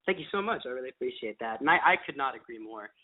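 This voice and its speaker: A man's voice: medium pitch, animated, fast. A young man giving earnest personal answers as an interview guest, often repeating and restarting his phrases. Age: teenager or young adult. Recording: narrow tinny phone line.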